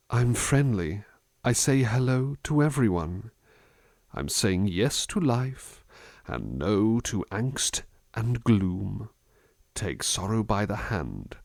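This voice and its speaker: Man playing a low, creaky, soft-spoken butler. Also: no echo, studio quality, heavily acted. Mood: gentle reciting. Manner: hesitant, thoughtful, musing, unhurried. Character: gentle, reflective male butler reading poetry to his charge. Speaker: ASMR roleplay performer. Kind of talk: soft-spoken ASMR roleplay, musing and reciting.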